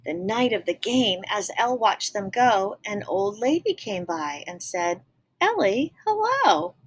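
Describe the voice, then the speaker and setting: A woman reading a picture book aloud expressively, pausing to explain and put questions to young listeners. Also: medium pitch, expressive, a little hiss or hum. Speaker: read-aloud storyteller.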